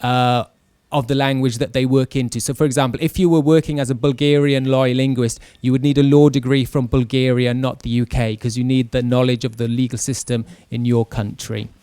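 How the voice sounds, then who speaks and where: A man, giving an informal, measured explanatory talk as a panel speaker into a handheld microphone. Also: medium pitch, flat delivery, dark tone, clean tone, dry recording. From West Wales. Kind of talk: informal careers talk to an audience. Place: classroom with a seated audience. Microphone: handheld microphone held close.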